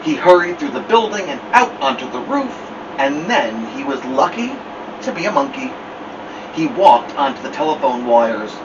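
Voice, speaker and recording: Guy doing kids storytime, really expressive and unhurried, kinda far from the mic with noise behind him. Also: a little high. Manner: theatrical, with drawn-out words and dramatic pauses. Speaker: storytime host reading a children's book. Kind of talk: animated read-aloud of a children's picture book. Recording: distant mic, dull sound, noisy background hum.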